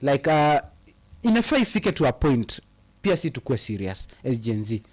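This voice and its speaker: A man's voice: medium pitch, big pitch swings, moderate pace, darkish tone. A Kenyan man co-hosting a casual live chat, reading viewer comments with impassioned, hesitant delivery. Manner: impassioned, reads comments aloud with hesitant fillers. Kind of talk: casual live chat mixing English and Swahili.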